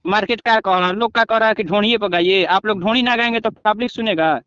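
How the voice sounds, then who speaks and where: A man's voice: sits high, fast, sharp tone, clean tone, no echo. A man from Bihar with a Bhojpuri accent, arguing and scolding as a reporter in a confrontational phone interview. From Buxar, Bihar, India. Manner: argumentative, scolding. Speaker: news reporter. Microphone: phone call. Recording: dull, dry recorded phone call.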